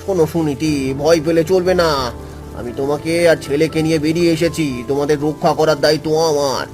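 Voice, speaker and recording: A humble woodcutter father from a cartoon folk tale , played by a man. His voice is a bit high, earnest and emphatic as a determined protector. Faint music and rain sit behind him. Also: steady tone, fast, a little mellow, natural voice. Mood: resolute. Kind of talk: cartoon voice acting.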